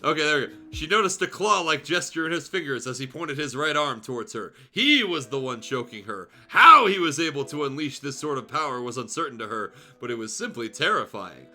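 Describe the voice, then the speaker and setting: Forceful bright-voiced man reading fan fiction. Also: mid-range voice, expressive, brisk, few pauses. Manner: crude, joking, sweary. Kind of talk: story narration with casual, irreverent asides. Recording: music behind the voice.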